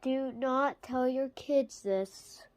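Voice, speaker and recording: A woman playing a solemn emergency alert announcer in an amateur doomsday broadcast, halting and stumbling over the script. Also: high-pitched, darkish tone, dry recording. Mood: faltering.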